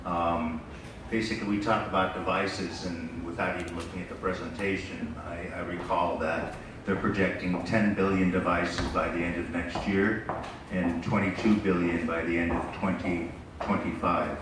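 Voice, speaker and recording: A middle-aged American man talks through a business presentation in a deep, level voice. He speaks loosely and conversationally, leaning on fillers as he goes. The sound comes from across a roomy, echoing hall over a steady layer of noise. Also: moderate pace. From United States. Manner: relaxed and conversational, with frequent fillers. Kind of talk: conference presentation. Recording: echoey hall, distant mic, background noise.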